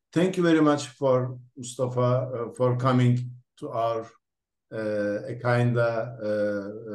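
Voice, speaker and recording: A man hosting an interview, introducing his guest slowly with many fillers and restarts, speaking English as a non-native Turkish speaker. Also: medium pitch, a little mellow. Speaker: interview host, lab researcher. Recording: echoey room.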